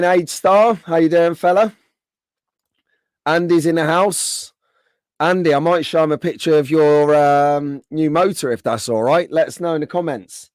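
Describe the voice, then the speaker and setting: A man hosting a casual live stream, greeting viewers by name, his voice slightly hoarse from being under the weather. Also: medium pitch, darkish tone, dry recording, clean studio sound.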